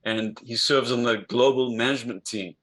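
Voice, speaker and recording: Male interview host, mid-pitched voice, measured delivery with fillers, heard over a video call. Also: fairly even intonation, fairly bright tone. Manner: measured, with frequent fillers and restarts. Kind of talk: conversational interview hosting.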